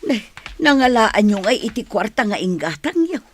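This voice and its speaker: A woman's voice: fairly high pitch, very animated, moderate pace, a little hiss or hum. A woman with an Ilocano accent acting as a thrifty, questioning older village mother in a radio drama. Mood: suspicious.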